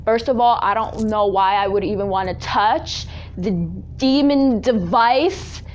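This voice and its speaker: A woman's voice: a little high, unhurried, poor audio. A woman telling comic personal stories in a casual, dramatic, exaggerated way, acting out conversations and dropping in the odd Spanish phrase. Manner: dramatic, exaggerated, acts out family dialogue; drops Spanish phrases into English. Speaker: storyteller, host of a personal story series. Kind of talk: animated comic personal storytelling, casual chat.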